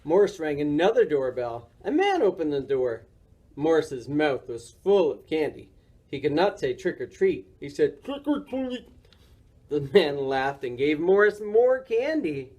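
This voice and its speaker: Animated storybook reader with lively pitch. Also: measured pace. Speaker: library story time reader. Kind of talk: children's storybook narration.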